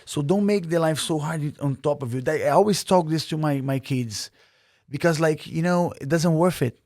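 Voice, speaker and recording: A man's voice: on the high side, moderate pace, a little mellow, dry recording, studio quality. A man from Brazil, a native Portuguese speaker with a Brazilian Portuguese accent, talking earnestly as a podcast guest with many fillers and restarts. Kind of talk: casual interview.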